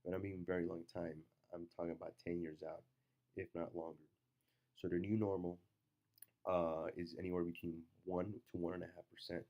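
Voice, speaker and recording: Casting: man, low monotone, congested from a cold, unscripted market talk. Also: lo-fi sound. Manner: halting, many fillers and restarts. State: stuffy from a cold. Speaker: market commentator. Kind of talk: casual market commentary.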